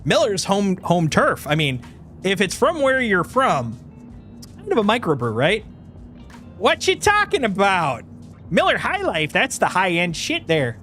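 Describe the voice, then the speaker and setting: A man's voice: high-pitched, unhurried, soft background music. A game streamer from Wisconsin, a man with a played-up Upper Midwest accent and a slightly nasal voice, chatting casually and joking often with folksy exclamations. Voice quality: a little nasal. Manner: joking and animated, laughs often, slips into exaggerated folksy exclamations. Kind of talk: casual live-stream chat.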